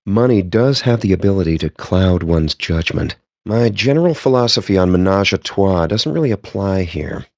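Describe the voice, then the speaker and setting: Smug, animated, low-voiced gamer guy. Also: normal speed, dry recording. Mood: wry. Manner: sardonic, smug, theatrically wordy. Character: cocky, foul-mouthed, nerdy male gamer at poker table. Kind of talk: video game character banter.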